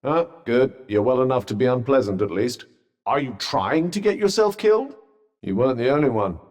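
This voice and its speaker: A man's voice: mid-range voice, expressive, moderate pace, darkish tone, big-room sound, lightly acted. An actor playing a dry-witted, sardonic male magic bracelet in a video game, speaking with posh understatement. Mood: wry. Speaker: companion character. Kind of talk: game voice lines.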